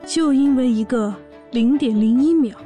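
A woman's voice: sits high, normal speed, dark tone, faint music behind, close to an everyday voice. A woman playing a brash, boastful pregnant orc warrior, a sassy and bossy older sister, in a fan audio drama. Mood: nostalgic, boastful. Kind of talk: audio drama acting and narration.

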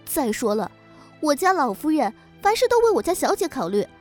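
A woman's voice: sits high, very animated, moderate pace, darkish tone, soft background music. A woman playing a young, loyal, outspoken maidservant in a Chinese period audio drama. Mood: indignant.

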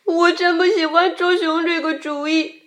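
A woman's voice: very high voice, unhurried, a bit bright, roomy sound, voice pushed up. A woman voicing a timid young rabbit in a children's storybook, trembling and fearful as it speaks. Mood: tearful. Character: timid, whimpering young rabbit, frightened sidekick.

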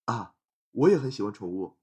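A man's voice: on the low side, big pitch swings, normal speed, darkish tone, slightly rough. A man playing a polite, amiable young man in an audio drama. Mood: friendly.

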